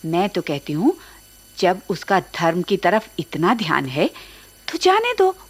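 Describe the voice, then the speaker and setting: An actress in a radio drama playing a practical, gossipy middle-aged wife with a chatty, coaxing manner. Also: female voice, on the low side, huge pitch swings, unhurried, breathy edge, heavily acted. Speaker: radio actor.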